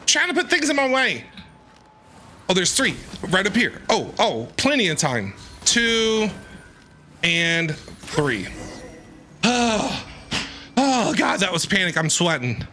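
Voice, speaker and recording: A man giving excited game stream commentary, exclaiming and repeating his phrases in a panicky way. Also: high voice, takes it slowly, long pauses, a little hiss or hum. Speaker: streamer playing and reacting to a video game.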